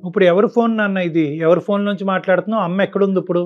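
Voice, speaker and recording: A man's voice: high voice, steady tone, very fast, dull audio, music in the background. A man counselling on a call-in show, explaining his advice point by point and often repeating himself. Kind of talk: advisory talk to caller and co-host.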